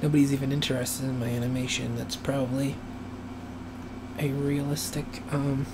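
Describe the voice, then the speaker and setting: Male streamer, mid pitch, hesitant think-aloud delivery, dry raspy voice, audible room hum. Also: little pitch movement. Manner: musing, hesitant, thinks aloud with many pauses. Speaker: animator live-streaming his work. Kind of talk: rambling casual live-stream talk while working. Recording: noisy room hum behind voice.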